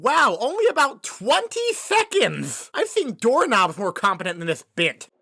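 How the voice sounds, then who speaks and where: A man's voice: extremely high pitch, huge pitch swings, measured pace, gravelly, bright, forceful tone. A man narrating a comedic movie review as host, sarcastic and mocking.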